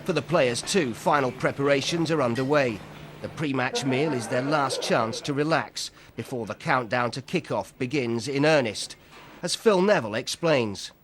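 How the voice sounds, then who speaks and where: A man narrating a documentary in a measured, even scripted delivery. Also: mid-range voice, a little hiss or hum.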